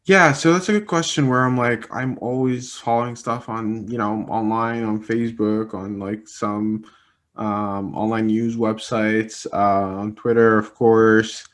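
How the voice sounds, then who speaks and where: A young man from Egypt, an Arabic native speaker, with a fairly low voice. He answers interview questions in a relaxed, meandering way. He repeats himself and fills gaps with "like" and "um" as he thinks. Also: measured pace. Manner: rambling, many fillers and restarts. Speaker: interviewee, communication specialist.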